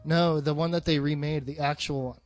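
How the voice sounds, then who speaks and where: A man with a voice pitched a little above average talks in a loose, chatty way. His speech is slangy and full of casual swearing. Faint music plays behind him. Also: fairly even intonation, a little fast. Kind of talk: casual chat about films.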